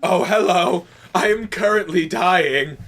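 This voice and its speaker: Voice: mid-range voice, takes it slowly, a bit bright. An actor voicing an agonized male living snowman in a comic radio play, wailing and pleading melodramatically. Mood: grateful agony. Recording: roomy, echoing, distant from the mic.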